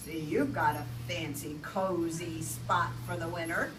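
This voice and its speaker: A woman voicing a sly male fox in a storybook tale, coaxing and smooth, recorded far off in an echoey room. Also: lowish voice, steady tone, unhurried, background noise, voice pushed down, close to an everyday voice. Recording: echoey room, distant mic.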